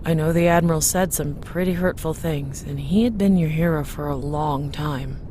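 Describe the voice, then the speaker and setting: First officer, female actor: composed, loyal, caring; lowish voice with ship rumble behind. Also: normal speed, mellow, natural voice. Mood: concerned, gentle. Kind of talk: science fiction audio drama acting.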